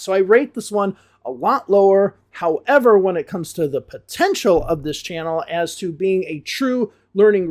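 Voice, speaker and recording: An American man from the Chicago area, an English teacher giving a relaxed spoken review full of hedges. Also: high voice. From Chicago area, USA. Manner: relaxed, talks things through with frequent hedges.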